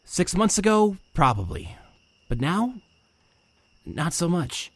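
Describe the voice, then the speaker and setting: A man's voice: high-pitched, sing-song, takes it slowly, full character voice. A man playing a brainy, anxious young mutant turtle in an audio comic drama, casual and thoughtful.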